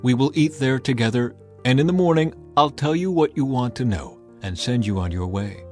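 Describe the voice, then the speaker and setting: A man's voice: mid-range voice, animated, normal speed, darkish tone, soft background music, close to an everyday voice. A man playing a commanding old prophet and seer in a dramatized scripture reading. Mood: assured.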